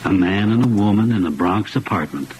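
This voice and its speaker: Guy doing old radio horror announcer, deep and dramatic, bit of noise. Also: expressive, a little fast, a little mellow, close to an everyday voice, rough recording. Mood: portentous. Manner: dramatic, portentous. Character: grave announcer introducing a horror tale. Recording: vintage radio, faint noise.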